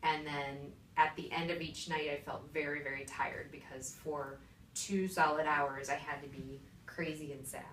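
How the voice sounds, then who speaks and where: A woman's voice: deep voice, steady tone, normal speed, fairly bright tone. A woman who acts and dances answers interview questions casually, reflective and plain-spoken as she explains to a child. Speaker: interviewee, stage actress and dancer. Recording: echoey room, distant mic, background noise.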